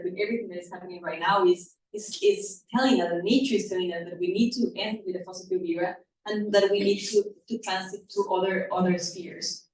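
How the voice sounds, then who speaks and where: A woman from Ecuador with an Ecuadorian Spanish accent gives an earnest presentation to an audience as a panel speaker, often repeating words as she goes. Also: fairly low pitch. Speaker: activist, panel speaker at a conference. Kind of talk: public presentation to a live audience. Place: indoor event room. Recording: echoey room, distant mic, background noise.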